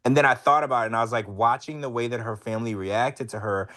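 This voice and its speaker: A man's voice: mid-range voice, fast, fairly bright tone. A man co-hosting a casual podcast chat, talking bluntly with plenty of swearing and strong opinions. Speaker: podcast co-host.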